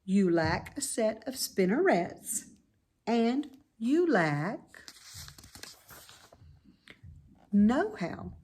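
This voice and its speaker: A woman playing a wise, calm, self-assured female spider in a children's storybook, speaking in a measured, instructive way. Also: medium pitch, slightly rough, heavily acted. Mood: frank, encouraging. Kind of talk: children's storybook read-aloud.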